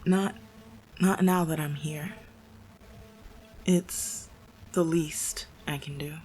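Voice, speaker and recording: A guilt-ridden, bookish young survivor, confessing in a radio play. She speaks very slowly and haltingly in a lowish, hushed voice, with faint noise behind her. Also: steady tone. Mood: haunted, resolute. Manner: halting, reflective, self-doubting. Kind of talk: audio drama confessional monologue.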